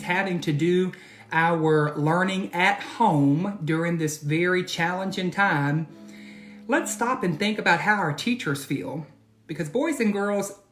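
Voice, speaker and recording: A man reading aloud and teaching for children, expressive and addressing them directly with questions. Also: on the high side. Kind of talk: children's storybook read-aloud and lesson.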